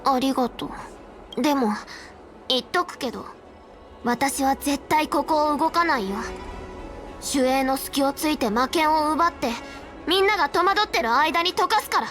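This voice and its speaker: A woman's voice: high-pitched, lively intonation, fast, a bit bright, faint music behind. A woman playing a headstrong, earnest young girl in a video game, speaking in an insistent, matter-of-fact way. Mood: stubborn. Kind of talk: Japanese game voice acting.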